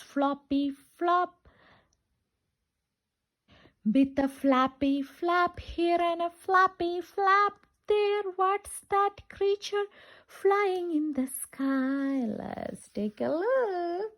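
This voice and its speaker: A woman doing an interactive, sing-song read-aloud of a children's picture book, with repetitive, questioning delivery for young children. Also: sits high. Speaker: children's storytime reader.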